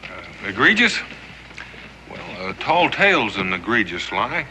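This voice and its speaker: An actor playing an easygoing, wise frontiersman father in a TV western, measured and dryly humorous. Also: on the low side, very animated, sharp tone, heavily acted. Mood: homespun wisdom. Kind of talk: TV western acting. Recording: noisy old TV soundtrack.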